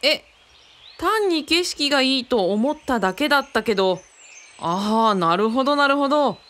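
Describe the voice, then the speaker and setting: A woman playing a boyish, excitable young man in a radio drama, speaking casually and exclaiming often. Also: high-pitched, moderate pace. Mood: impressed. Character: boyish, excitable young male sidekick, Kaoru.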